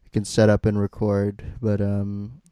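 Slow-talking man, low voice, podcast chat. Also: monotone, warm tone, smooth, no echo, studio quality. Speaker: podcast host. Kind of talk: casual chat.

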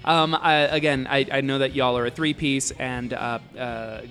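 A man's voice: medium pitch, fairly even intonation, moderate pace, fairly bright tone, soft background music. A man hosting a casual interview, asking questions in a loose, playful, slangy way. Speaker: interviewer, host.

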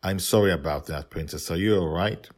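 A man's voice: sits low, fairly quick, a little mellow. A man playing an earnest father in a storybook tale, stern but loving toward his young daughter. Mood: tender, apologetic. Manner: earnest, parental.

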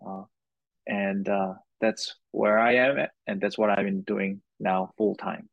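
A man from Korea, guest on a chat podcast, talks through his answers at an unhurried pace in short, paused phrases. His voice sits on the low side and is recorded close and dry, with no room sound. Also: flat delivery, gravelly, dry recording, phone-quality sound. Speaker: guitar maker, guest. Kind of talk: casual interview answers.

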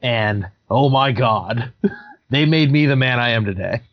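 A man's voice: medium pitch, unhurried, no room sound. A man in his thirties, a game master, chatting casually and animatedly, sometimes slipping into voices. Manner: animated, slips into character voices. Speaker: podcast co-host and game master. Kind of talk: casual chat. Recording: dry, dull lo-fi sound.

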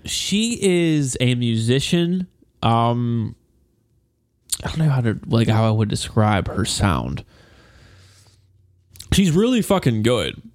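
A young man with a South African accent, chatting casually and haltingly, hedging with lots of fillers. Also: medium pitch, very animated, no echo, clean studio sound. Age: young adult. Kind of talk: casual chat.

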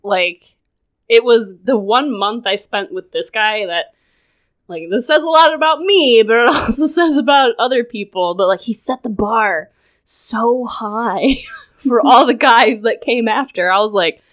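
A woman's voice: a little high, expressive, a little slow. A woman guest on a casual podcast, telling a personal story in a loose, chatty way, with lots of "like" and frequent laughter. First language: English. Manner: chatty, full of "like", laughs often.

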